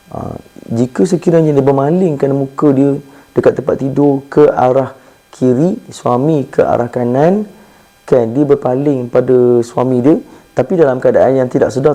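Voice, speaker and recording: A man with a Malaysian accent giving an informal Islamic lecture in a slightly hoarse voice, conversational and didactic, as if troubled by a cough. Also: mid-range voice, mellow. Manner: conversational and didactic, asking and answering own questions. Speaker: Islamic preacher.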